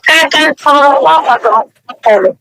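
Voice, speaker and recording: A woman's voice: high voice, lively intonation, normal speed, gravelly, pushed and bright, no room sound, low-quality recording. A young woman with an eastern Bengali dialect, bantering casually and protesting in short repeated phrases.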